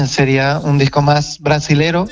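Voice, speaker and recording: A man from Portugal with a Portuguese accent, speaking as a guest musician in a relaxed interview, talking frankly and reflectively. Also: on the high side, a little slow, dull audio. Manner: frank, reflective, self-correcting. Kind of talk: casual interview chat.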